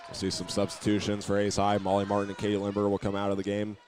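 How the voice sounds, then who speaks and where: A man's voice: fairly low pitch, completely flat delivery, quick pace. A man doing live play-by-play commentary of a basketball game, matter-of-fact, sometimes stumbling and repeating words. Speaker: play-by-play announcer. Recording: noisy gym ambience behind the voice.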